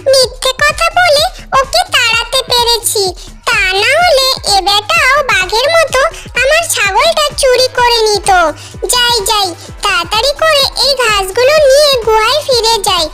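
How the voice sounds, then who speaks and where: A sly little fox from a children's cartoon. The voice sits extremely high, almost childlike, and chatters in a wheedling, scheming way. Loud music plays behind it. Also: animated, a little slow. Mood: relieved. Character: cunning fox scheming to farm goats. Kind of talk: animated children's story dialogue.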